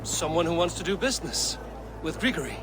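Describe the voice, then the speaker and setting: An actor playing a bold, wily Viking raider in a video game, confident and coaxing. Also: male voice, on the high side, sing-song, a little fast, background noise. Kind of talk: video game dialogue acting.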